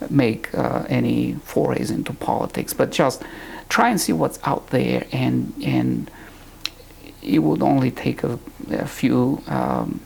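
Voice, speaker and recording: A man teaches in an earnest, unhurried way, stopping now and then to find his words. His voice is heavily gravelly, full of deep fry. A faint hiss sits under the recording. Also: darkish tone. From Romania. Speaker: Bible teacher. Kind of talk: recorded teaching talk to camera.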